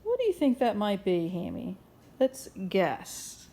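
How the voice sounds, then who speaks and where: Expressive woman reading a picture book aloud. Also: mid-range voice, a little slow, vocal fry. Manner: expressive, encouraging, asks questions of listeners. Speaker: children's story time host and reader.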